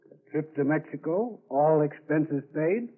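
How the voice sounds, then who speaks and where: A man's voice: fairly high pitch, animated, unhurried. An actor playing an easygoing, self-assured salesman who secretly cracks safes, in a 1940s radio drama with an old tinny, echoing sound. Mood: easygoing, affectionate.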